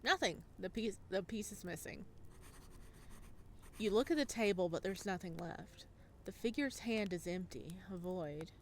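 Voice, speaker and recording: A woman's voice: medium pitch, lively intonation, unhurried, a little hiss or hum. A woman playing a visual novel, reading it aloud and chatting with reactions as she goes. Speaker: video game streamer.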